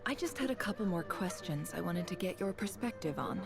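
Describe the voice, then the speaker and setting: Guarded young student, low-pitched. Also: female voice, animated, a little fast, creaky. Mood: polite, probing. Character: guarded, sharp-minded young female student, quietly bitter. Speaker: video game character voice. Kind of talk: scripted game cutscene acting. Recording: background music behind dialogue.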